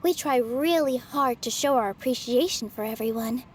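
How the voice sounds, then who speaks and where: A woman voicing a gentle, modest young female dancer in a video game, speaking politely and softly. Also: on the high side, moderate pace, slight background noise, close to an everyday voice. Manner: soft-spoken, polite and self-effacing. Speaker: game character.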